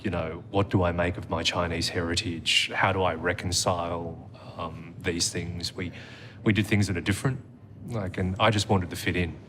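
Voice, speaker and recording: Deep-voiced man, reflective. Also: steady tone, noisy background. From Australia. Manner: reflective storyteller, measured with frequent "um"s. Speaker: interview guest. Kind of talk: conversational interview. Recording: music playing underneath.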